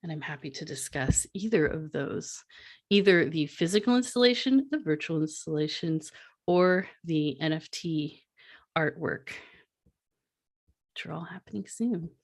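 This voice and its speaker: A woman presenting her art projects in a relaxed online panel talk, with many fillers and restarts, on a video call mic. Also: low voice, a little slow. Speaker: artist and presenter.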